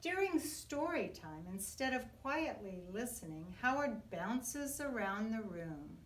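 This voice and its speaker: An echoey room surrounds this woman, who sits a little back from the mic. She reads a children's storybook aloud in an expressive, very lively voice, shaping it deliberately for small kids. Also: on the high side, far from the mic. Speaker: children's book reader. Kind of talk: read-aloud picture book narration.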